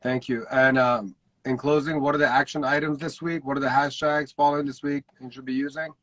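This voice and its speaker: Male host, upbeat and welcoming, mid pitch, dry close sound. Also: flat delivery, brisk, a bit bright, no room sound, poor audio. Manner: upbeat, thanks guests, hands over turns. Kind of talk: casual livestream hosting.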